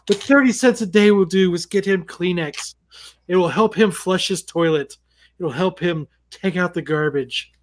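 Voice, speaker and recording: Wry jokey man on a podcast. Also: high-pitched. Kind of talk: casual banter.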